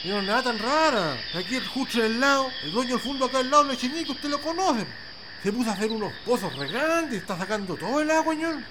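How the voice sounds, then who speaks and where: A man's voice: very high voice, lively intonation, fast talker. A man playing an indignant, resigned farmer with a rural Chilean accent, grumbling in colloquial country talk in a radio play. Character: peasant farmer Juan, indignant and resigned, plain-spoken. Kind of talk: amateur radio play dialogue. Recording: outdoor ambience noise with birds and insects.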